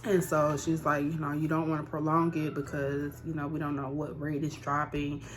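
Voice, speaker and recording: Low-pitched woman in her thirties, candid vlogger. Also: completely flat delivery, moderate pace. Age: 30s. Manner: candid, conversational, emphatic repetition. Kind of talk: casual personal vlog to camera.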